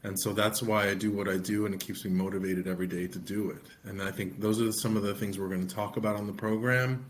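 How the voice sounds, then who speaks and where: A middle-aged man, an immigration attorney co-hosting a podcast, explaining in an earnest, steady way. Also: fairly low pitch, fast talker. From United States. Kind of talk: podcast conversation.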